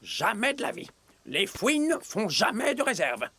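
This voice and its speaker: A man's voice: high voice, huge pitch swings, a little slow, bright, forceful tone, husky, no echo, heavily acted. An actor voicing a scornful, scheming male weasel gang leader, barking and cocky, in a French cartoon dub. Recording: music behind.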